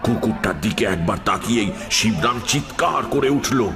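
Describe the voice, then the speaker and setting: A man narrating a first-person horror story in a dramatic, suspenseful, expressive scripted style. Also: fairly low pitch, moderate pace. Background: prominent music.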